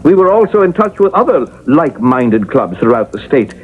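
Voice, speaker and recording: Casting: proud young male ghost, a formal and easily indignant tradesman, very expressive, vintage radio play. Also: medium pitch, moderate pace, husky. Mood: proud explaining. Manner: formal, old-fashioned, indignant. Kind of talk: radio drama acting. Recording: noisy, narrow-band vintage radio broadcast.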